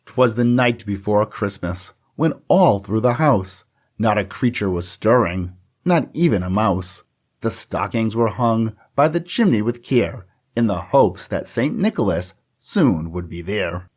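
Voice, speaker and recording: Low-voiced man reading theatrically. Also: expressive, darkish tone. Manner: measured, theatrical storytelling. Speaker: podcast co-host. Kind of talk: podcast chat and dramatic poem reading.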